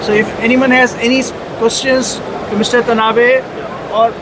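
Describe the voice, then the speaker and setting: High-voiced man moderating a panel. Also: level tone, moderate pace. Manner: hesitant, frequent uhs, introduces others. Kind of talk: panel talk at a trade event. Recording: clear background noise.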